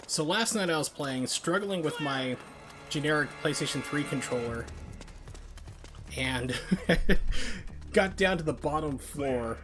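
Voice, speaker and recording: A man's voice: fairly high pitch, lively intonation, slow, long pauses. A man live-streaming a video game, chatting casually with viewers and explaining things as he plays. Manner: conversational, explanatory. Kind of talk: casual gameplay commentary with chat. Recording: game audio and music behind. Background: video game sound and music.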